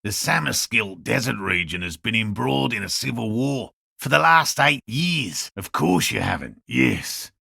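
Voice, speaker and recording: A man playing a proud, prickly old cryptozoologist in a video game, with a gravelly, throaty voice and curt, precise academic diction. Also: mid-range voice, lively intonation, a little slow, few pauses, pushed and bright, no room sound, studio quality, exaggerated voice. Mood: dry, defensive. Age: older. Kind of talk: video game character dialogue.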